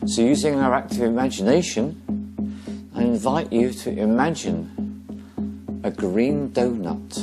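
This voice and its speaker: A man leading a guided meditation slowly and gently, pausing between short phrases. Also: medium pitch, loud music behind the voice.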